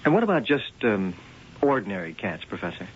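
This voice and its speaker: A laconic police lieutenant. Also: male voice, on the low side, very animated, brisk, husky. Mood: probing. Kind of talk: 1970s radio drama acting. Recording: muffled old radio broadcast.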